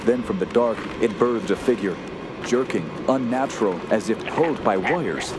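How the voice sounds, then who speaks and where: Man acting as low-voiced, haunted horror narrator. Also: lively intonation, moderate pace, background noise, natural voice. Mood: horrified. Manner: solemn, dramatic, clipped phrases. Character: haunted young nephew recounting wilderness terror. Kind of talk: scripted first-person horror radio narration. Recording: loud dramatic music behind.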